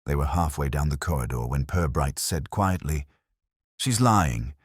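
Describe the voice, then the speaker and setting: A man reading a mystery story as a scripted narration, in a measured, literary storytelling manner. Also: very deep voice, a little mellow, slightly rough, no room sound, studio quality. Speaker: audiobook narrator.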